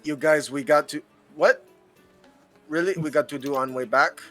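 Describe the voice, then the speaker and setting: A man voices his tabletop character in clipped speech that drops its little words. His tone is fairly high and bright, with faint music in the background. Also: moderate pace. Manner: speaks in broken, article-dropping character speech. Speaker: player. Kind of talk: playing a character in a tabletop game.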